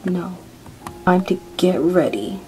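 A woman acting an injured young woman searching for her lost friend in an amateur audio drama, talking in a breathy half-whisper and often out of breath. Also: on the low side, expressive, takes it slowly, a little mellow, faint music behind, poor audio. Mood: determined.

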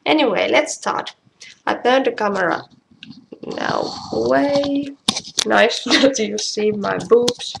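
A woman from Finland with a Finnish accent, giving a casual craft tutorial in halting, self-deprecating English with a creaky voice. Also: on the high side. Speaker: craft demonstrator. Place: indoors at home. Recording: noisy live stream with clicks.

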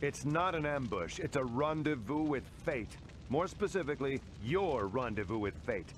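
An actor playing a resolute military resistance general in a video game, speaking with deliberate authority. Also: male voice, medium pitch, expressive, slight background noise, lightly acted. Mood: grim confidence. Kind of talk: video game cutscene acting.

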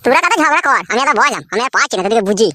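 A man playing an exasperated, crude village husband in a comic cartoon, bickering dismissively in a pitched-up voice. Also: lively intonation, racing, bright, forceful tone, heavily acted. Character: exasperated, crude village husband caught between two wives.

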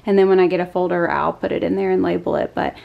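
A woman's voice: lowish voice, steady tone, quick pace, creaky, phone-quality sound. A woman, chatting casually in a voice-over for her home vlog. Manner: chatty, confiding, says y'all. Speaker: vlogger.

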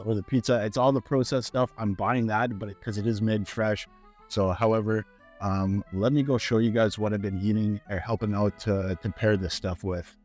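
A man in his thirties from Canada, chatting casually to camera while walking through a grocery store on a lapel mic. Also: medium pitch. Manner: chatty, upbeat, talks to camera with fillers. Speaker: fitness vlogger. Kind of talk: casual walk-through vlog.

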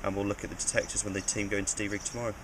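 A man's voice: lowish voice, flat delivery, very fast, a bit bright, a little hiss or hum. A man describing a cave passage, hesitant and repeating his words. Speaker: cave diver. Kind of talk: interview recap.

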